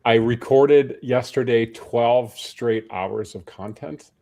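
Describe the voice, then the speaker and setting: A tired, hoarse man speaking as a panel guest, chatting in a friendly, self-deprecating way with frequent restarts. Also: mid-range voice, slow, warm tone. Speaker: panel guest, personal trainer. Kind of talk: casual panel conversation.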